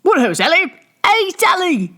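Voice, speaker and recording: A woman's voice: very high voice, very animated, measured pace, voice pushed up, heavily acted. A woman voicing boisterous, impatient tavern drinkers calling out rowdily in a storybook. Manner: shouting, rowdy. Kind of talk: storybook character reading.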